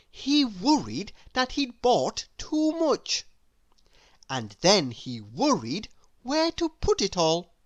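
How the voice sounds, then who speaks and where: A woman reading a children's story aloud in an expressive, deliberate storytelling manner. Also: on the low side, dry recording.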